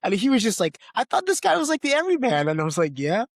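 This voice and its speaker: A man's voice: extremely high pitch, huge pitch swings, fast talker, no room sound, studio quality. A man hosting a podcast, discussing films casually and conversationally, often tagging his points with a questioning "right?". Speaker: podcast host.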